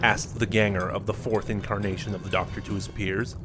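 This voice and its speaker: A man narrating a fan-made audio drama in a steady storytelling manner. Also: fairly low pitch, brisk. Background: music.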